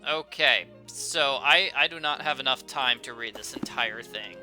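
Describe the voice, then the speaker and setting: A man's voice: mid-range voice, moderate pace, sharp tone. A man giving casual, playful commentary as he hosts a video game playthrough. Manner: chatty, playful, informal. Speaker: gaming video host. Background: faint game music.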